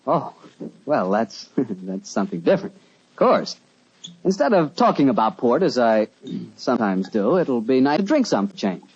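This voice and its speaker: A genial man hosting an old-time radio program, chatting in scripted banter. His mid-range voice moves in lively swoops with a slight huskiness, on a muffled vintage recording. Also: medium pitch, normal speed, slightly rough. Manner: genial, conversational. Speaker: radio show host and announcer.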